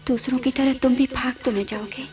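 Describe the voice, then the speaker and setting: An actress in a radio play playing a sorrowful, seductive female ghost, pleading in slow, half-whispered phrases. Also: sits high, steady tone, darkish tone, close to an everyday voice. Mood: yearning, pleading. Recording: music under the voice.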